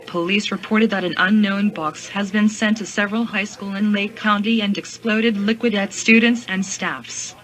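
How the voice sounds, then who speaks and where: A woman playing a TV news anchor, reading a scripted bulletin in a flat, measured way. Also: medium pitch, slight background noise, lightly acted, low-quality recording.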